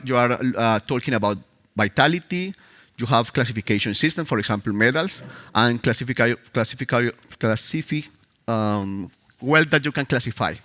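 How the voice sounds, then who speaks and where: Man, Spanish accent, conference talk, bright tone, halting with fillers. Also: mid-range voice. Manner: hesitant, many fillers and restarts. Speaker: conference speaker. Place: conference stage.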